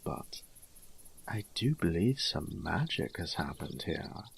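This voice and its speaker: A man's voice: deep voice, vocal fry, slightly rough, heavily acted. A man playing a dapper, fussy, teasing young nobleman in an audio drama, speaking in an airy, flowery, mannered way. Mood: playful, appraising.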